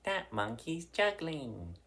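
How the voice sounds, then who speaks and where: A storybook reader voicing an excited, eager young boy. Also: mid-range voice, slow, voice pushed up, full character voice. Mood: amazed.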